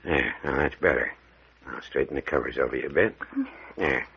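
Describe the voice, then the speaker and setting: An actor playing a folksy, fatherly country doctor with a rural American drawl and a calming manner in an old radio drama. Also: extremely low pitch, gravelly, breathy edge, full character voice. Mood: soothing. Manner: drawling, soothing, unhurried authority. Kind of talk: 1940s American radio acting. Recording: muffled vintage radio recording.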